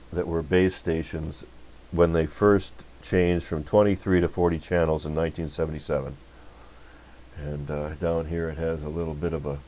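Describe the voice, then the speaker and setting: A man's voice: low voice, warm tone. A man talking in an informal, folksy, rambling way as he hosts a casual workbench demonstration. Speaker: host of a radio repair channel.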